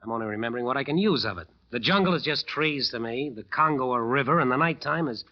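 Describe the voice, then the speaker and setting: A man's voice: medium pitch, expressive, quick pace, natural voice. An actor playing a cocky, hard-boiled American jazz bandleader who narrates his eerie story in a 1940s radio drama. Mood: dismissive, cocky. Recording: muffled old radio recording with music.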